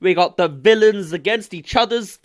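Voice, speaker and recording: A young man hosting a reaction video with casual, mocking commentary. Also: high voice. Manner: sarcastic, mocking, quick asides. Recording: show audio playing loud underneath.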